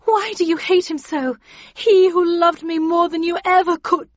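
A petulant young wife, played by a woman narrator, crying out in a very high, dramatic voice. She is passionate and lovelorn, a storybook heroine in a dry recording. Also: fairly even intonation, normal speed, no echo, highs cut off. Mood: wounded passion.

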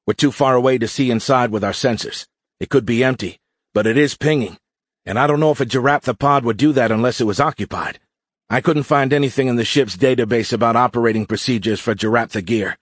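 A man voicing an excitable male pilot in an audiobook, giving eager, brisk military reports. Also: mid-range voice, no echo, clean studio sound, voice pushed up, lightly acted. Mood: hopeful, alert.

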